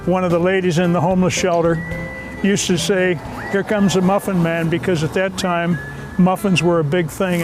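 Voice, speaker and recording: A man's voice: high-pitched, low-quality recording. A man in his seventies, a retired volunteer, speaking in a documentary interview. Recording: music behind the voice. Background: guitar music.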